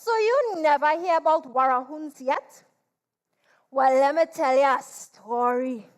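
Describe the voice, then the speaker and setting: A woman with a Trinidadian accent performing a folk tale as a dramatic storyteller, drawing words out theatrically and repeating them. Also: high voice. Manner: theatrical, drawn-out delivery with repetition. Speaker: storyteller performing at a literature competition.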